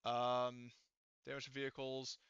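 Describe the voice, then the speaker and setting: A man acting as game master for a tabletop session, with a bright, clear voice and a close, dry sound. He talks casually, working things out aloud and swearing here and there as he narrates. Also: mid-range voice, monotone, measured pace, dry recording, dull audio.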